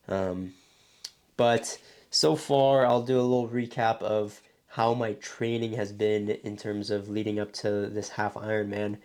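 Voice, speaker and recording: A young man chatting casually in a vlog about his training, his voice hoarse and throaty from a cold, rambling with many fillers. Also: fairly low pitch, steady tone, measured pace, a little mellow. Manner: loose and rambling, many ums and restarts. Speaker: vlogger training for a triathlon.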